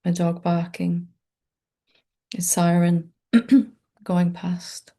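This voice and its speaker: Slow, low-voiced woman guiding meditation. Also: completely flat delivery, mellow, husky. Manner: soothing, unhurried, informal, reassuring. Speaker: meditation teacher. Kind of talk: gentle guided meditation, unscripted.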